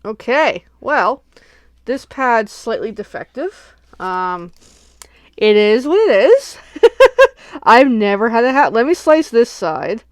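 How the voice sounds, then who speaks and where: A woman's voice: on the high side, sing-song, slow pace, pauses often, no echo, studio quality. A sniffly woman hosting a casual crafting livestream, chatting and thinking aloud as she unboxes and works at home.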